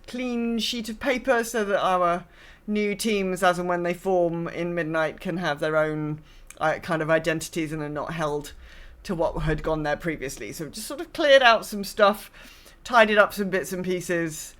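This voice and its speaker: A woman's voice: fairly low pitch, moderate pace, fairly bright tone. Wry woman chatting on a podcast. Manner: wry, acts out imagined quotes. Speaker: podcast host. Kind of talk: casual chat about gaming.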